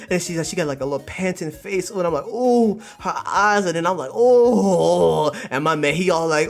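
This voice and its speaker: A man's voice: on the high side, a bit bright. A man streaming to chat, retelling what he reacts to in an exaggerated, theatrical, slangy way with comic exclamations. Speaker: streamer, VTuber. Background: background music.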